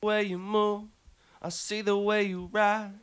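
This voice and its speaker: A man singing a slow R&B vocal through autotune, airy and crisp with the low end rolled off. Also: level tone, no echo. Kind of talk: autotuned sung vocal demo.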